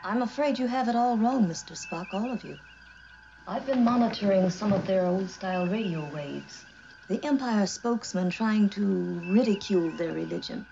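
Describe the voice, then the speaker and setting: A woman's voice: medium pitch, moderate pace, gravelly, dark tone, slight background noise, natural voice. An actress playing an earnest female starship officer in a 1960s television drama. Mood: earnest, moved. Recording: dull vintage TV soundtrack with music.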